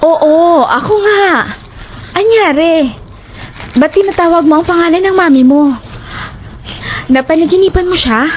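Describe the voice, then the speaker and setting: A chatty young female friend played by a woman in an audio horror drama, with a high voice. She sounds inquisitive and a little fretful, speaking conversationally over clear background noise. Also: a little slow, pauses often. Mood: puzzled. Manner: inquisitive, everyday conversational delivery.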